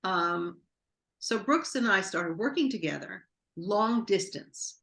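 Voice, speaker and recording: A woman's voice: mid-range voice, expressive, slow pace, gravelly, a bit bright. A woman from New York, a guest in an interview, telling long rambling anecdotes full of quoted dialogue. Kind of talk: interview storytelling.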